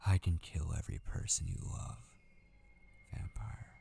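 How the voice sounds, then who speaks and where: A deep, crackly-voiced male captor played by a man in an ASMR roleplay, obsessive and menacing. He talks slowly and darkly, taunting his captive and often sinking to a whisper. A faint noise sits behind the voice. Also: creaky, dark tone, exaggerated voice. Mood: threatening, obsessive. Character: obsessive mortal male yandere kidnapper, menacing and possessive. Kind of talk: intimate ASMR roleplay acting.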